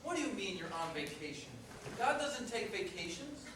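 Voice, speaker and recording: Man playing a high-voiced skeptical cabbie, very echoey. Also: very animated, normal speed. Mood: questioning, doubtful. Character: skeptical, easygoing male cab driver. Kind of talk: live staged play reading. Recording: noisy, very echoey hall, distant mic.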